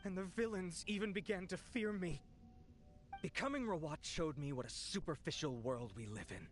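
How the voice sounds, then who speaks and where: A man's voice: high voice, animated, normal speed. A man playing a wistful, disillusioned researcher, narrating dramatically in a video game. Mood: bitter, wistful. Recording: soft music underneath.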